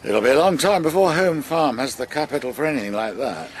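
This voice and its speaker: A man's voice: medium pitch, lively intonation, fast talker, a little hiss or hum, natural voice. Dry, gloomy older farming father played by an actor. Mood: sardonic. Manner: dry, world-weary. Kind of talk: naturalistic radio drama acting.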